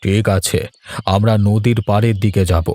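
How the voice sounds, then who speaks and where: A grave village leader from a fairy tale cartoon, put on by a man. His voice is low, measured and authoritative. He speaks over background music. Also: steady tone, dark tone, no room sound, lightly acted. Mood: commanding. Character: grave, commanding male village elder and leader.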